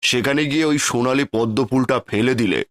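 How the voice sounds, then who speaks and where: A man voicing a noble fairy-land prince in a cartoon story, speaking in a measured, authoritative way. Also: mid-range voice, no echo, clean studio sound, lightly acted. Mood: stern.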